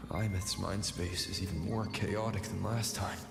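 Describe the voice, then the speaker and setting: A man voicing a calm, kind young hero in a video game, with a measured, soft-spoken delivery. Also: low-pitched, gravelly, loud music behind the voice. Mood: puzzled. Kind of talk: video game voice acting, inner monologue.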